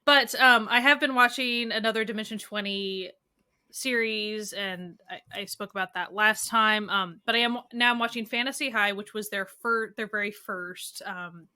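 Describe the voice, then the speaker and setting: Young woman podcaster, bright sharp voice, laid back, says um a lot. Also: mid-range voice, normal speed, smooth. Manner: easygoing, explains with fillers like "um". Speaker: podcast co-host. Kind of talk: casual chat recommending shows.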